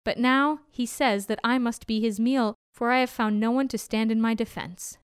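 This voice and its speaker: A woman plays a kindly Brahmin from a storybook. He explains himself earnestly and patiently, with a rueful air, in a fairly high voice recorded dry. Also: steady tone, normal speed, clean tone, no echo, lightly acted. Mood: sorrowful. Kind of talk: storybook character voice.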